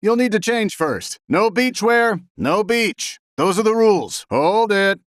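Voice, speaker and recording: An actor playing a strict, officious beach gatekeeper in a video game, firm about the rules. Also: male voice, high voice, sing-song, measured pace, background noise. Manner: firm, rule-bound. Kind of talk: scripted video game dialogue.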